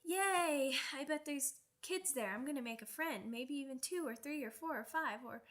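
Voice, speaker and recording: A woman voicing an excitable, stubborn young werewolf pup in an ASMR roleplay, with childish, halting, repetitive speech. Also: high-pitched. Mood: excited, eager. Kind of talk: ASMR character roleplay audio drama.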